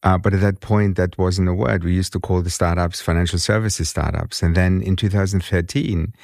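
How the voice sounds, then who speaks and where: Man in his fifties, very low voice, British-German accent, dry close sound, measured, repeats words as he restarts. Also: steady tone, creaky, darkish tone, no room sound. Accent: mixed British and German. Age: 50s. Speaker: guest. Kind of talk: conversational interview.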